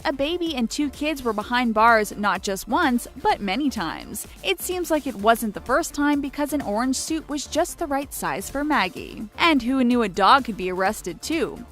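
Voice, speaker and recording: A woman's voice: high-pitched, a bit bright. A woman reading a scripted countdown narration as the narrator of a video channel.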